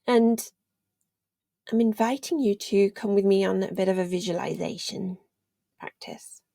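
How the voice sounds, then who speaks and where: A woman leading a guided meditation in a gentle, soothing, unhurried way, leaving long pauses and letting phrases trail off almost to a whisper. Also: mid-range voice, creaky, no echo, clean studio sound. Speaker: meditation guide, yoga teacher.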